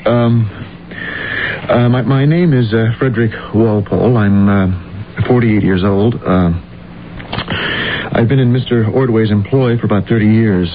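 A man's voice: on the low side, fairly even intonation, a little slow, pauses often, close to an everyday voice. An actor playing a meek, nervous secretary in his late forties, hesitant and stammering, in an old-time radio drama. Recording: tinny, noisy old radio recording.

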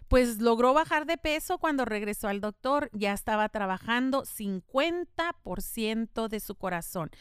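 A middle-aged woman speaks in a fairly high voice that comes through very clear and crisp. She explains things earnestly, like a podcast host teaching her listeners, with a faith-tinged tone and the odd spoken filler. The sound is dry and close, with no room around it. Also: no echo. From United States. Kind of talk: earnest informative monologue.

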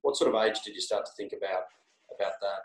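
A man's voice: lowish voice, fast talker. A man interviewing someone, asking long questions with many repeated words and hedges. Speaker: interviewer, company executive. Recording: echoey room.

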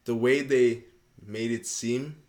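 A man's voice: medium pitch, steady tone, slow pace, a little mellow. A young man from Canada, chatting loosely as a podcast guest and often checking in with "you know what I mean". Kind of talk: casual interview chat.

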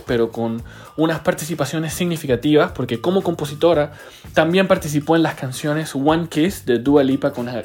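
Guy hosting a music podcast, kinda high voice, friendly upbeat intro vibe, little bit of music behind. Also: a little mellow. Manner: upbeat, welcoming presenter. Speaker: podcast host. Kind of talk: podcast interview.

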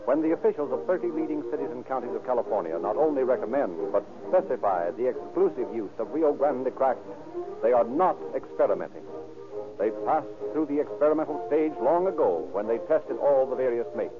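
Formal 1930s radio announcer, tinny old recording. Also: male voice, mid-range voice, expressive, brisk, over music. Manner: formal, declamatory, carefully enunciated. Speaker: announcer and narrator.

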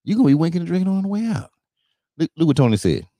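A man's voice: fairly high pitch, very animated, rapid-fire, warm tone, no echo, studio quality. A man hosting a casual show, joking loosely and often adding "you know what I'm saying.". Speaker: show host. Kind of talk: casual banter with sung jingle.

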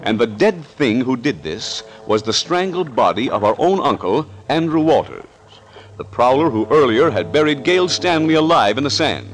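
A man narrating a suspense serial as announcer, with a dramatic, deliberate 1940s radio delivery. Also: mid-range voice, sing-song, faint music behind, lightly acted, low-quality recording.

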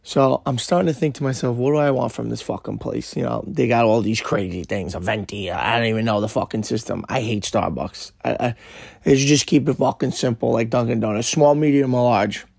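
Brash, hoarse young New man. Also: medium pitch, a little fast, no room sound, clean studio sound. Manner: brash, swearing, animated retelling. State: hoarse, voice worn out. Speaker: podcast host. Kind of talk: casual profane storytelling monologue.

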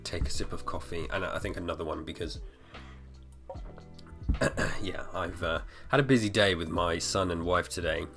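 Slightly hoarse deep-voiced male podcaster. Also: moderate pace, long pauses, over music. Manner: rambling, full of fillers and restarts. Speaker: podcast host. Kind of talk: casual solo podcast talk.

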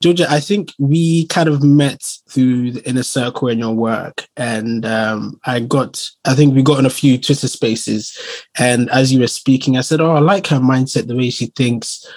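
A man hosting a podcast interview, upbeat and hyped, tossing in quick bursts and filler words like "cool, cool". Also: medium pitch, darkish tone, no echo. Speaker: podcast host.

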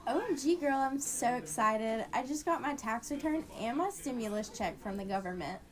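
A woman plays an excitable young friend in an amateur radio play. Her voice sits a little high, and she talks in girlish slang with curious questions. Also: normal speed, natural voice. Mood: excited, gossipy. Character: excitable young woman, curious about how government works. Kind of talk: amateur scripted radio drama dialogue.

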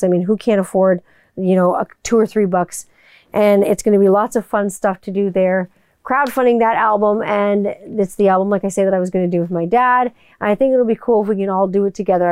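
A woman's voice: mid-range voice, darkish tone, clear, resonant tone, dry recording. A woman who does voiceover and music work, talking to her viewers in a rambling, chatty way that includes casual swearing. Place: home room with people upstairs.

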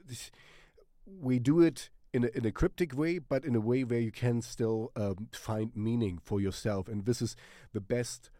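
German dude with a cold, mellow dark voice, lots of ums and restarts, swears a bit. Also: male voice, mid-range voice, normal speed, dry recording. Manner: rambling, hesitant, full of fillers and restarts; swears casually and laughs often. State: has a cold. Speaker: music channel host. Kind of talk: casual music recommendation vlog.

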